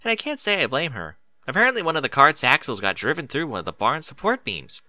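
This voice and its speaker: A man's voice: a little high, big pitch swings, fast, bright, forceful tone, dry recording, voice pushed up, heavily acted. A man voicing a studious female pony, helpful and a wry know-it-all, speaking in a precise, bookish way.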